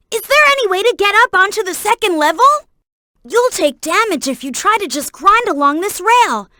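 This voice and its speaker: Young boy fox game sidekick, extremely high pitch, lively intonation, earnest, excitable, childlike. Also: dry recording, studio quality, exaggerated voice. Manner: earnest, clearly enunciated, childlike. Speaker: video game character giving hints. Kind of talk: game voice acting, tutorial hints and alerts.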